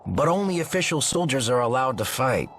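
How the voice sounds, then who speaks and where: A man's voice: mid-range voice, sing-song, brisk, a bit bright, a little hiss or hum. An actor playing a stout-hearted, plain-spoken townsman in a video game. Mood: protesting. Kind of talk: English video game voice acting. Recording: light music behind the voice.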